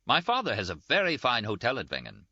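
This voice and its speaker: A man's voice: mid-range voice, wildly swooping pitch, fast, pushed and bright, no room sound, pitched above the performer's own voice, full character voice. A man voicing a haughty, formal army lieutenant in clipped, officious audiobook dialogue, on a slightly dull, dry recording. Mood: polite, formal.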